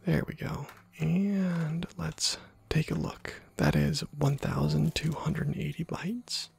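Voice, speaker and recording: Husky, creaky-voiced man doing ASMR game commentary. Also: a little high, takes it slowly, darkish tone. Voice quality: husky, breathy, often slipping into whisper. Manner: rambling, self-deprecating. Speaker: host of a gameplay video channel. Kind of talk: soft-spoken ASMR gameplay commentary.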